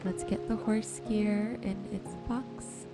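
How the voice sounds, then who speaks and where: A woman softly and gently narrating her gameplay in an ASMR style, musing to herself as she goes. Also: medium pitch, steady tone, takes it slowly, loud music behind the voice. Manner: soft-spoken, gentle musing to herself while sorting. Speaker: gaming video host.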